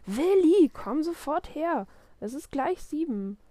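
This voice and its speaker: A woman's voice: medium pitch, lively intonation, normal speed, a little mellow, dry recording, close to an everyday voice. A woman voicing a stern, impatient father calling his young son in a children's story. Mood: stern, annoyed. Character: exasperated father shouting for his dawdling small son. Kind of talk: children's storybook read-aloud.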